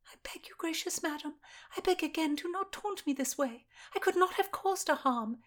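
A frightened young maid of honour begs for mercy in a high, breathless half-whisper, as a woman puts her on. Her pleading runs fast and strained. It is a period audiobook reading. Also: expressive.

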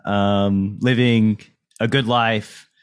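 A man's voice: lowish voice, fairly even intonation, extremely slow, clean tone. Dry-humored male interviewer. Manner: dry, teasing asides. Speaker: interviewer host. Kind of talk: interview questions.

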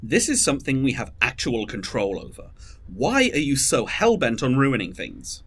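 Husky, very animated, controlling leader acted out. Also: medium pitch, moderate pace. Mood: angry, insistent. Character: stressed, controlling, pragmatic leader of a secret plan. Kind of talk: audio drama dialogue.

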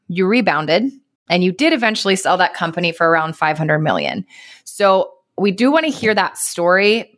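A woman hosting a business podcast, upbeat and lively, with casual, informal phrasing. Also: lowish voice, dry recording, clean studio sound. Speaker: podcast host. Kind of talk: podcast intro and interview.

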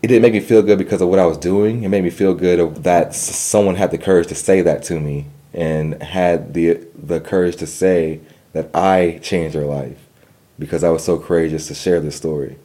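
Deep-voiced man who stutters, earnest. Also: fairly even intonation, moderate pace, dark tone. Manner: earnest, with stutters and repeated words. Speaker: guest. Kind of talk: personal story in an interview.

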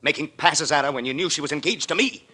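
An actor playing a jealous, hot-headed fiancé in a 1940s radio drama, huffy and confrontational. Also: male voice, on the high side, fast talker, lightly acted. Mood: jealous rage. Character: jealous, hot-headed male newspaper columnist, jilted fiancé. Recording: noisy vintage 1940s radio recording.

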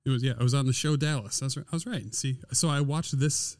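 A man with a naturally deep voice, co-hosting a casual podcast chat on a studio microphone, easygoing and rambling with fillers. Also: quick pace, darkish tone, no echo. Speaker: podcast co-host.

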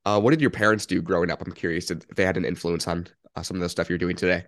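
A man hosting a podcast, interviewing a guest briskly with short affirming replies. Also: low-pitched, fairly even intonation, a bit bright. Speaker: podcast host.

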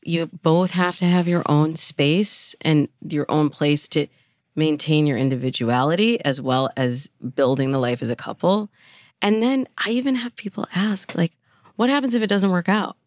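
A woman's voice: low-pitched, moderate pace, a little mellow, no echo, clean studio sound. An American woman speaking as a dating coach in a conversational, explanatory and emphatic way. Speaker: dating coach, podcast guest. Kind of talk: conversational expert advice.

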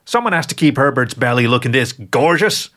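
A man's voice: medium pitch, animated, brisk, fairly bright tone, lightly acted. A man voicing a lean male lion husband who protests playfully.

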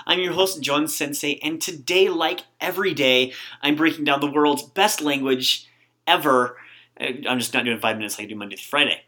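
A man's voice: a little high, sharp tone. Male podcast host, upbeat and joking, chatty interviewer. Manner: upbeat, joking, quick asides.

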